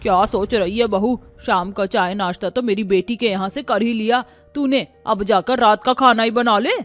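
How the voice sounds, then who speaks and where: A woman's voice: mid-range voice, normal speed, soft background music, lightly acted. A woman voicing a bossy, nagging older mother-in-law in a cartoon family story. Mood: nagging, scornful. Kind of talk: Hindi moral-story cartoon dialogue.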